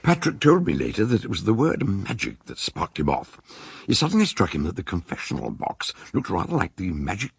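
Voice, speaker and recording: An actor playing a measured male narrator, recounting his adventure suspensefully in a radio play. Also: fairly low pitch, creaky, slightly rough, no room sound, full character voice. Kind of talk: dramatic storytelling in a radio play.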